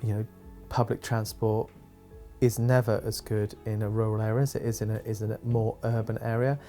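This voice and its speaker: A man's voice: on the low side, little pitch movement, brisk, warm tone. A man who works with youth groups, describing his work as if answering an interview. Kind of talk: interview-style account of work for a promotional film. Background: background music.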